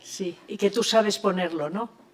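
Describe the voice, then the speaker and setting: A woman's voice: mid-range voice, measured pace. An older woman from Spain, moderating an academic round table and talking conversationally with frequent restarts. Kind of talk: academic panel discussion. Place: university lecture hall.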